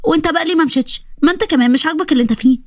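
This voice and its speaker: Cartoon story: pampered cheeky Egyptian girl recruit, high and quick, voiced by a woman. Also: no echo, clean studio sound, natural voice. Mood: sassy. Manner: sassy, chatty.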